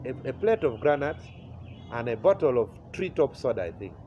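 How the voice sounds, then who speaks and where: Unhurried Ugandan man in his forties. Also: medium pitch, sing-song, warm tone. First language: Acholi. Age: 40s. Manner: unhurried, pausing with "uh", chuckling at memories. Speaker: interview guest. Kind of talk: relaxed life-story telling. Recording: slightly muffled with faint noise.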